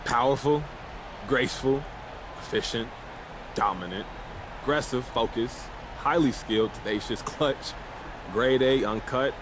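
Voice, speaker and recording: An actor playing a cocky, defiant young American basketball star, casual and boastful in a sports game's post-game interviews. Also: male voice, medium pitch, measured pace, pauses often, noisy background, natural voice. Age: young adult. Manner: boastful, self-assured, casual.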